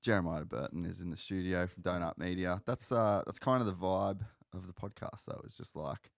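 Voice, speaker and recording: A man's voice: deep voice, steady tone, fairly quick, vocal fry, no echo. A man in his thirties hosting a podcast, chatting in a laid-back way, swearing freely and laughing often. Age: 30s. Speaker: podcast host. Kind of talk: casual chat.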